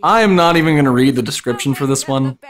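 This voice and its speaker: A man's voice: medium pitch, quick pace. A man reading a comic fan fiction story aloud as dramatic narration.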